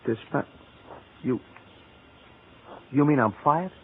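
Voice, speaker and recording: An actor playing an earnest, polite store employee in an old radio drama, plain-spoken with an American accent. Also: male voice, mid-range voice, slow, close to an everyday voice. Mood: hurt, accusing. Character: earnest, anxious young male store employee. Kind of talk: 1940s radio acting. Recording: tinny, hissy vintage radio recording.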